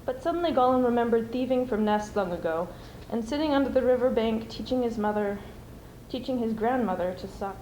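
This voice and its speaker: Young female student prose reader, higher voice, dramatic storytelling, roomy recording with faint noise. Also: brisk, mellow. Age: teens or young adult. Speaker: student speech performer. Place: school speech showcase before an audience. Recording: roomy, noisy amateur stage recording.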